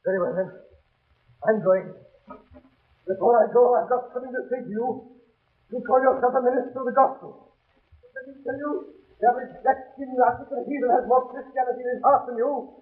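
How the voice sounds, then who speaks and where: An actor playing a desperate, pleading young doctor with an agitated, halting delivery in a 1930s radio drama. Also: male voice, very high voice. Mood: bitter, indignant. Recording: tinny, band-limited 1930s radio recording.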